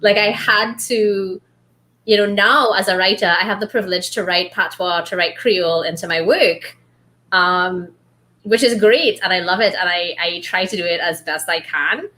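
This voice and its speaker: A woman from Trinidad with a light Trinidadian accent, hosting a casual book club chat and telling lively stories with laughs and acted-out quotes. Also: on the low side, normal speed. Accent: light Trinidadian, trained toward neutral. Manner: animated storyteller, laughs often, acts out quotes.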